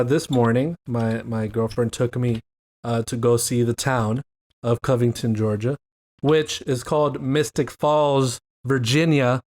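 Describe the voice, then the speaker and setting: Rambling Miami-accented man hosting. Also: medium pitch. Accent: Miami English. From Miami, USA. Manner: rambling and jokey, repeats "you know what I mean". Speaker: podcast host. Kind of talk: casual chat.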